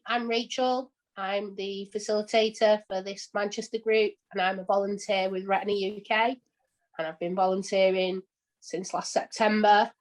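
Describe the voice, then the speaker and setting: A woman facilitating an online support group call, talking in a friendly, informal way with hesitant fillers. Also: medium pitch. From England. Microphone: video call.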